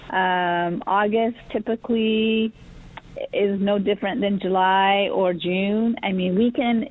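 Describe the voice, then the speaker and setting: Woman talking over a tinny phone line. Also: medium pitch. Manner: enthusiastic, halting with many fillers. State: slightly losing her voice. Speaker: fishing lodge owner, guest. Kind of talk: radio call-in guest talk.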